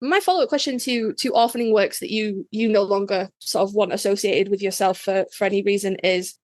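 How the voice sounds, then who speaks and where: A woman's voice: mid-range voice, fairly quick, a little mellow, dry recording. A woman co-hosting a podcast, enthusiastic and given to repeating her words. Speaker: podcast co-host. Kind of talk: casual podcast interview.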